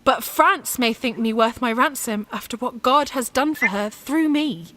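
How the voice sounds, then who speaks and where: A woman's voice: a little high, a little slow, sharp tone. A woman playing a devout, headstrong young peasant warrior in a radio play, impassioned and plain-spoken. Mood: resigned, trusting.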